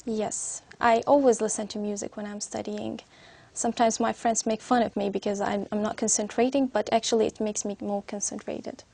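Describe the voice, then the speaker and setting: Young Syrian woman, gravelly creak, flat pitch. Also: normal speed, no room sound. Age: young adult. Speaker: student being interviewed as a speaking test candidate. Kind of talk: spoken exam answers.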